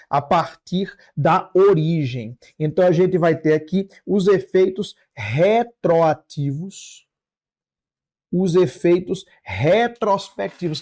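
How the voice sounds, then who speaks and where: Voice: medium pitch, a little mellow. A Brazilian law teacher giving an explanatory lecture, didactic, repeating and rephrasing key phrases with short check-in tags.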